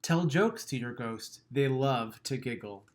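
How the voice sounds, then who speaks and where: A man reading a children's picture book aloud, gentle and expressive, letting line endings trail off softly and chuckling at the funny bits. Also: medium pitch, a little slow.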